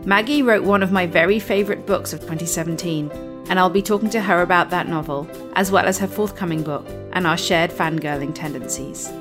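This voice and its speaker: A woman's voice: on the low side, fairly quick, over music. A woman hosting a book podcast, reading a scripted intro and then interviewing her guest with enthusiasm. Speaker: podcast host.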